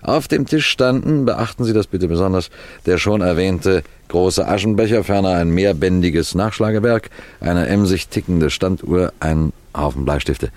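Low male voice, actor playing shrewd detective, composed, deliberate storytelling, radio mystery. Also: darkish tone, close to an everyday voice. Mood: explaining, precise. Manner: measured, precise, deliberate storytelling. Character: composed, clever police detective recounting his case. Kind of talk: 1960s German radio mystery narration.

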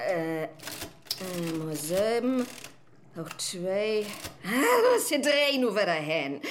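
A muddled witch in a comic radio play. She chants and mutters slowly in Low German rhyme, bossy and theatrical, with a very animated melody. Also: slight background noise. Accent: Low German, northern Germany.

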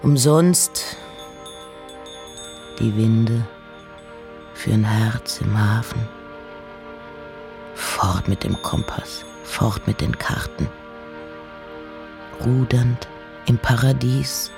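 A woman reads literature aloud in an intimate, measured way, with a creaky, gravelly edge to her voice. Her pace is unhurried and she sometimes sinks toward a whisper. Music plays clearly behind her. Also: rumbling low. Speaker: reciter. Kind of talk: dramatic literary reading of poems and letters in German.

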